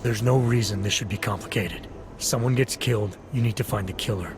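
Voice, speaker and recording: An actor playing a stern, principled Interpol agent and ex-cop in a video game, terse and firm. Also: male voice, lowish voice, a little fast, over music, close to an everyday voice. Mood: firm, righteous. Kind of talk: video game cutscene dialogue.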